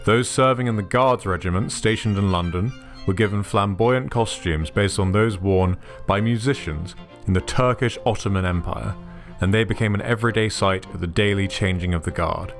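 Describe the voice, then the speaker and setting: A man giving measured, scripted documentary narration. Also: fairly low pitch. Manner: measured, even documentary delivery. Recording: soft music underneath.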